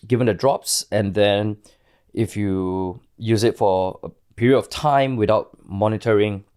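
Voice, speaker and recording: A man's voice: low voice, darkish tone, smooth. A man in his late thirties with a Singaporean accent, speaking candidly as an interview guest and often searching for his words.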